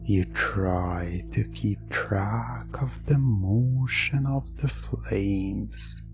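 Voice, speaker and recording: A man narrating a scripted hypnosis roleplay in an ASMR style, telling the story with drawn-out pauses in a breathy voice that often drops toward a whisper. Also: fairly low pitch. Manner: slow, drawn-out second-person storytelling with frequent pauses. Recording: music under the voice. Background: background music.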